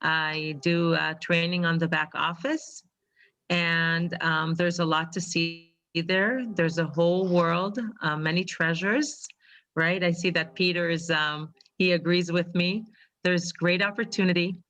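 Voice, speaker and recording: Low-voiced woman, Hebrew native, on a call. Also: level tone, unhurried, a bit bright. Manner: hesitant, many ums. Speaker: webinar participant. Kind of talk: casual pitch on a video call.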